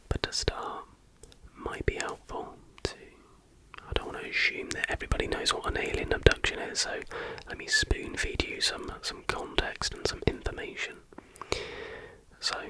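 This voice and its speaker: An ASMR host speaking entirely in a breathy whisper, chatty and informal. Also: low-quality recording. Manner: chatty, informal, joking asides.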